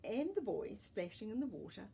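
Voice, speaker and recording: A woman storyteller reading a children's story expressively, with deliberate pauses and dramatic emphasis. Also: medium pitch, big pitch swings, creaky, warm tone, a little hiss or hum. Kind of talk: expressive storybook narration with asides to young listeners.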